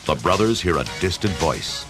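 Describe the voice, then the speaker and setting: A man's voice: sits low, sing-song, moderate pace. A man giving theatrical scripted narration for a radio adventure story. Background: music.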